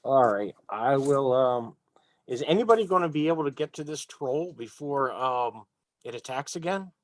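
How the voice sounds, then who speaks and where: A man of average pitch talks through his move in a tabletop game. He is casual and hesitant, thinking aloud, with very dry sound around the voice. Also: expressive, moderate pace, no echo. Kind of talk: casual, deliberating game talk.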